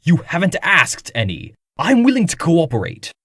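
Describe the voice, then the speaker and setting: A man's voice: on the high side, huge pitch swings, moderate pace, fairly bright tone, slightly rough, no echo, studio quality, exaggerated voice. An actor playing a haughty, wealthy nobleman in a comic dub, speaking in a formal and pompous manner. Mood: indignant.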